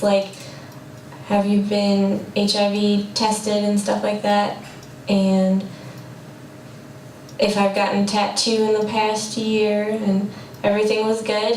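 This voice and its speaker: Young female student interviewee, unhurried, flat delivery, distant mic with faint noise. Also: mid-range voice, reverberant. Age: young adult. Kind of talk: recounting an experience in an interview.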